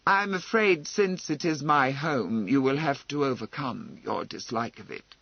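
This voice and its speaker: A man's voice: medium pitch, measured pace, muffled recording. A man voicing a cold, unbending aristocratic lord with a curt, matter-of-fact manner in a novel reading. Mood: curt, final.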